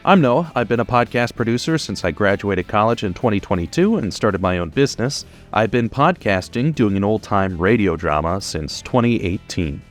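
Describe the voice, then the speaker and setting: A man in his twenties giving a casual, unscripted explainer in an informal, conversational way, as a podcast producer guiding beginners. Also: fairly low pitch. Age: 20s.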